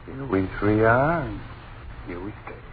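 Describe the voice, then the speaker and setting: A man's voice: on the low side, lively intonation, a little slow. An actor playing a protective, anxious father in an old-time radio horror drama, on a muffled, noisy recording. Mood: soothing. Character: protective, anxious young father sheltering his family.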